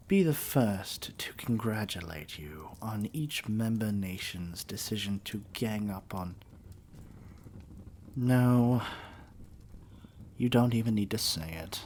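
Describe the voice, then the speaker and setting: Evil king for ASMR roleplay, male actor: lowish, unhurried, haughty and menacing, with noise behind the voice. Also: darkish tone. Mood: grudging, irritated. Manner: pompous, deliberate, dripping with disdain. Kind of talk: theatrical ASMR roleplay monologue.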